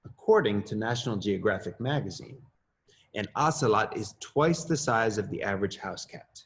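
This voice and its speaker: Expressive man reading a storybook. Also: lowish voice, normal speed. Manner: expressive, lively rises on questions and exclamations. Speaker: author reading his children's story; assistant principal. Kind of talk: storybook read-aloud.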